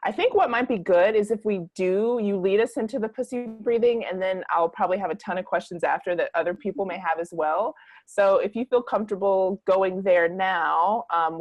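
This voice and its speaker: A woman's voice: medium pitch, normal speed, a little mellow, dry recording. A woman hosting an interview, reflecting back on what she heard in an affirming, casual way. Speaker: podcast host.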